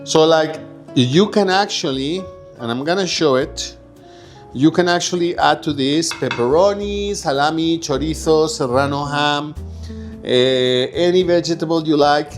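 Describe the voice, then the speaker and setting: A man's voice: fairly high pitch, measured pace. A man from Egypt giving a casual, chatty cooking demonstration as a home cook, improvising as he goes and checking in with his listeners. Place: kitchen, while cooking. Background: guitar music.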